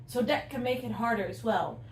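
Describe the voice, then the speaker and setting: A woman's voice: on the high side, a little fast. A woman city councillor speaking earnestly and directly in a casual discussion. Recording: echoey room, mic some distance off, faint noise.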